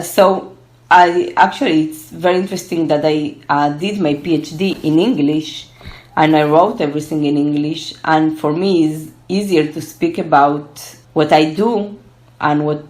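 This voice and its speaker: A young woman from Argentina, Spanish her first language, answering interview questions in English with many pauses and fillers. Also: low voice, darkish tone, low-quality recording. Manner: hesitant, many fillers and restarts. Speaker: guest, gerontology researcher. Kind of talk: casual interview.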